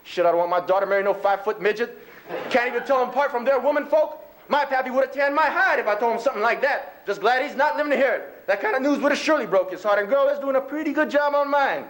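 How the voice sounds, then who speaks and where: A man's voice: extremely high pitch, expressive, fast talker, fairly bright tone. A man voicing a bigoted old rancher grandfather in a folksy drawl, reciting a dramatic monologue poem. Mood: outraged. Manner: folksy drawl, exclaiming, colloquial rural speech.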